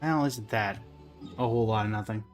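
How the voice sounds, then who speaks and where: A man's voice: on the low side, brisk, faint music behind. A man doing casual livestream game commentary, talking in short remarks to himself and to the characters on his screen.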